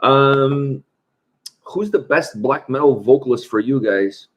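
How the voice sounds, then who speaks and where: A man's voice: medium pitch, takes it slowly, dull audio. Chatty man hosting a livestream. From Portugal. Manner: chatty, reads viewer questions aloud. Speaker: host. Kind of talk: casual livestream chat.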